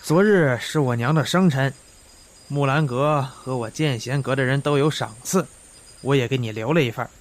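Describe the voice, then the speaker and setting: A man playing a cheerful, friendly young gentleman in a costume radio drama. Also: mid-range voice, normal speed, natural voice. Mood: friendly, generous. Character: cheerful, generous young gentleman, second son.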